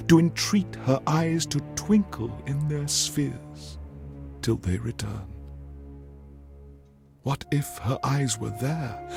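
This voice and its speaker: An actor playing a pompous, erudite alien overlord who hosts a game radio show, reciting in grandiose, carefully enunciated theatrical style. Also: male voice, mid-range voice. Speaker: radio DJ host.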